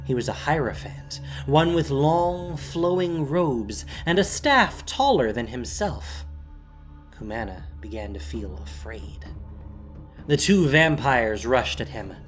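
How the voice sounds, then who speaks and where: Slow, dramatic male fantasy narrator. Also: mid-range voice, lively intonation. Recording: faint music behind.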